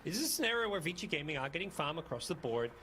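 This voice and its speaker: A man's voice: fairly high pitch, very animated, racing, sharp tone, a little hiss or hum. A man giving conversational live analysis as co-commentator on an esports match.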